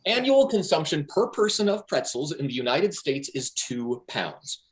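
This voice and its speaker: A man's voice: high-pitched, a bit bright. An actor in a play table read over video call, playing a crude, cocky male buddy with a profane, sarcastic manner. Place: remote video call reading.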